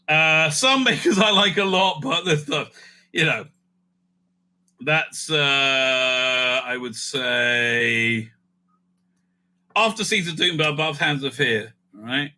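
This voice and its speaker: Male host, very bright and forceful, slightly high voice; animated casual commentary, thinking out loud. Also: slow. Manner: animated, thinks aloud with frequent asides. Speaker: host ranking TV serials.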